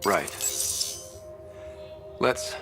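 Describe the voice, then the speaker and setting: A man's voice: very slow, over music. A man playing an earnest young leader of space explorers in a video game, casual yet resolute. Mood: focused. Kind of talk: video game cutscene acting.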